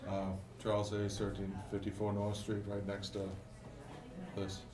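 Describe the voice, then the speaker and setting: A man's voice: lowish voice, monotone, a little slow. A man, a local resident, raising a concern at a town board meeting, hesitant and full of fillers. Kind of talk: public comment. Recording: roomy, from far off, chatter behind.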